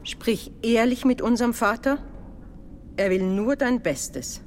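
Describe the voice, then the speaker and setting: A woman's voice: on the high side, unhurried, a little mellow, slight background noise, natural voice. Woman as a formal, authoritative Mother Superior. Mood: stern, formal. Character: stern, dignified Mother Superior of a convent. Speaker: actor in a radio play.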